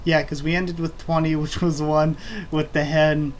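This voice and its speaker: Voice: mid-range voice, flat delivery, normal speed, a little hiss or hum. A manga read-through host talking casually into a desk microphone, rambling with many fillers and self-corrections.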